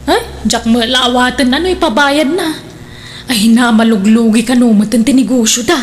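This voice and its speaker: A woman's voice: fairly high pitch, moderate pace, slight background noise, close to an everyday voice. A woman playing a scolding, pessimistic older sister in a radio drama, with a disapproving manner. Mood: dismissive.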